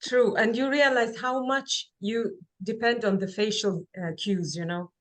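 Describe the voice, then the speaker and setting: Woman, halting speech. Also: on the high side, steady tone. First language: Kazakh. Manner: halting, with frequent fillers and restarts. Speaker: webinar presenter. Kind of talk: online presentation and Q&A. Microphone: video call.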